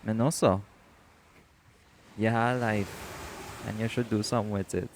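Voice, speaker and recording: Male actor as teasing shapeshifter boyfriend for audio roleplay, Caribbean accent, lowish creaky voice, emphatic and encouraging. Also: fairly even intonation, a little slow, a little hiss or hum. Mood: earnest, encouraging. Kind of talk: romantic audio roleplay acting.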